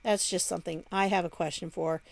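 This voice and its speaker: A woman's voice: lowish voice, fairly even intonation, brisk, gravelly, no echo. Sardonic woman commentator, dry close sound. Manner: conversational, sardonic, rhetorical questions. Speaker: vlog host and commentator. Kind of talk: casual news commentary, reading statements aloud.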